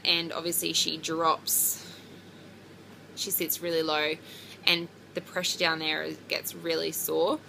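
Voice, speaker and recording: A young woman talks to camera in a loose, rambling vlog, full of ums and likes. Her voice is low-pitched and bright, with a gravelly fry running through it. A faint hiss of background noise sits under the recording. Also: poor audio. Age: 20s. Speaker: pregnancy vlogger. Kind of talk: casual chat to camera.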